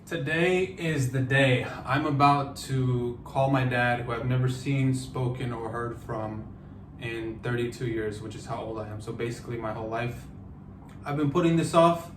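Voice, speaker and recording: A man in his thirties from the United States, talking through a personal vlog in a halting, reflective way with many fillers and restarts. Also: mid-range voice, background noise. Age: 30s. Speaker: vlogger.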